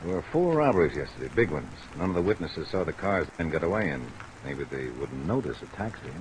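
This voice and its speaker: An actor playing a shrewd, businesslike American police lieutenant in a 1950s radio drama, brisk and probing. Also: male voice, extremely low pitch, sing-song, poor audio. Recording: vintage radio recording, clear rain noise.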